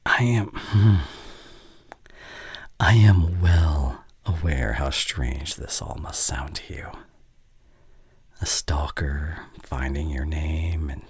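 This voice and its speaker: Man acting very deep, breathy ancient vampire. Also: very slow, pauses often, dry recording, heavily acted. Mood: secretive, awkward, then grateful, sheepish. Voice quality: breathy, half-whispered. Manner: formal, old-fashioned, hesitant phrasing. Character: ancient courtly male vampire, besotted, possessive, apologetic. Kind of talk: intimate ASMR roleplay monologue. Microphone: close studio condenser mic.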